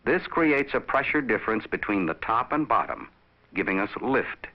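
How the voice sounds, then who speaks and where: A man reading scripted instructional narration for a training film in a measured, explanatory, didactic manner. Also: medium pitch, expressive. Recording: dull vintage training film soundtrack.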